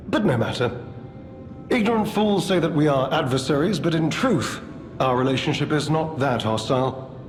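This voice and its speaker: A man playing a condescending, gossiping gentleman in a gothic video game, pompous and drawling with dramatic pauses. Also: a little high, slight background noise. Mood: amused, haughty. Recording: echoey hall reverb under music.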